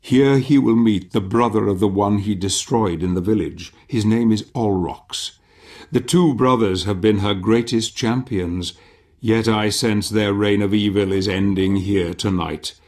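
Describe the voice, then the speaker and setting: An actor playing a solemn, ominous older storyteller, narrating a video game in a theatrical, measured manner. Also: male voice, on the low side, a little mellow, natural voice. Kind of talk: scripted dramatic game narration.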